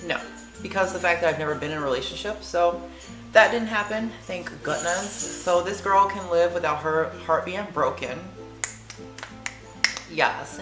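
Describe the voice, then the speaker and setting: Chatty teen girl, lower voice, echoey room. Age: late teens. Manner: chatty and lively, with slang and quick tangents. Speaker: vlogger. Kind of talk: casual chat answering tag questions.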